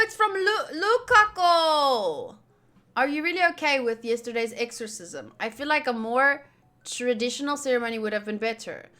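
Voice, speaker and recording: A woman streaming a visual novel playthrough, reading the text aloud with playful, expressive, casual reactions and some swearing. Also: fairly high pitch. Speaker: game streamer.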